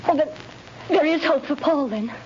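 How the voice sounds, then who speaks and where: An actress playing an anxious, wary young wife, tremulous and earnest in an old radio melodrama. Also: female voice, high voice, moderate pace, close to an everyday voice. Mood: amazed, hopeful. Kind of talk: 1930s radio melodrama acting. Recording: noisy, muffled 1930s radio recording.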